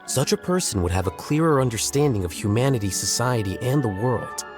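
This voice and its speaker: A man playing a composed, analytical character in a video game, speaking deliberately. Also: medium pitch, animated, over music, natural voice. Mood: composed, probing. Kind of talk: dramatic game voice acting.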